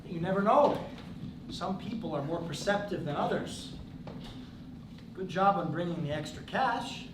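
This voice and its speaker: A man plays a wry, sardonic brother with a slightly high voice and dry, needling delivery. He sounds distant in a roomy theatre, with clear noise behind. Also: lively intonation, measured pace. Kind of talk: stage play acting. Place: theatre stage. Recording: roomy stage recording from afar with noise.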